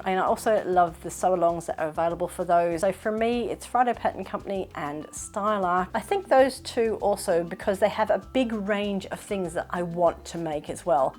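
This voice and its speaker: A woman's voice: lowish voice, a little mellow. A woman in her thirties with a mainly Australian accent touched by British and American sounds, chatting upbeat in a sewing Q&A vlog. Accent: Australian with British and American traces. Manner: chatty, upbeat, self-reflective. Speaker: sewing vlogger. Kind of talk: casual Q&A vlog.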